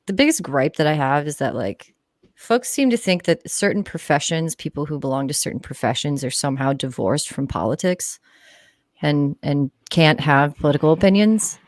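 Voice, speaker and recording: A woman with a lowish voice talks as a guest in a casual discussion. She is blunt and emphatic, swears freely, and is recorded dry, with very little room sound. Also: expressive, moderate pace, no room sound. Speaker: livestream guest, journalist.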